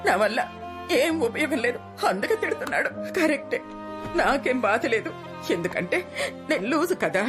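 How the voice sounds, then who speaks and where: A woman's voice: high voice, very animated, normal speed, over music. An actress in her fifties playing a self-blaming mother of four who rambles and slides into a tearful confession, in a film drama. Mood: confessional. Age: 50s. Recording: film score under dialogue.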